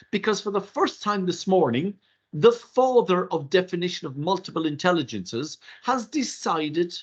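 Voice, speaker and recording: An older Irish man whose first language is Irish Gaelic gives a webinar workshop in an animated, rhetorical way, with theatrical asides, heard over a video call. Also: sits high, slightly rough. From Ireland. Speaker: workshop presenter. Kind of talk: webinar lecture. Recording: dull lo-fi webinar audio.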